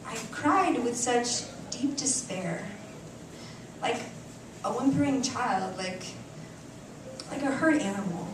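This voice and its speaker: A woman performing a short story at a live reading in a cafe, with an expressive, deliberate, theatrical delivery and dramatic pauses. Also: fairly high pitch. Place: indoor cafe stage before an audience. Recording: echoey live venue, distant mic, room noise.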